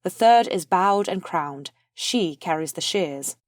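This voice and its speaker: A woman's voice: on the low side, expressive, slow pace, no echo, studio quality. A woman reading the stage directions of a play as scripted narration.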